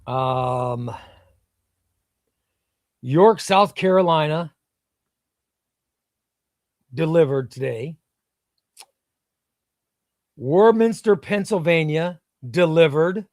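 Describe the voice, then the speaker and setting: A man's voice: a little high, expressive, extremely slow, pauses often, darkish tone, dry recording, clean studio sound. Laid-back man chatting on a livestream. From Illinois, US. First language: Spanish. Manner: rambling, offhand, swears casually. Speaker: comic book seller and livestream host. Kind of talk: casual livestream chat, reading viewer comments.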